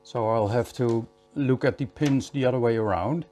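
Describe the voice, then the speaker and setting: A man giving a hands-on electronics repair tutorial in a measured, step-by-step way, often repeating short phrases. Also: mid-range voice, warm tone, faint music behind.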